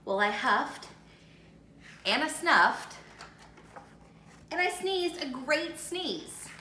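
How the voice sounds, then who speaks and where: Woman reading as a lively, aggrieved storybook wolf. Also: sits high, drawn-out words, pitched above the performer's own voice, full character voice. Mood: chatty, self-justifying. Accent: American. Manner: folksy, indignant, self-justifying. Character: aggrieved, chatty male wolf pleading his innocence. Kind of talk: animated first-person storybook read-aloud. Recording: roomy echo with faint noise.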